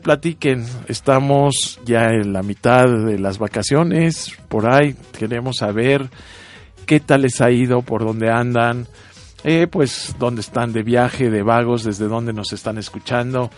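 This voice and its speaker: A man's voice: mid-range voice, darkish tone. Male radio host, Mexican accent, mid-range voice, informal and chatty, talking straight to listeners over faint music. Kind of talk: live radio show talk to listeners.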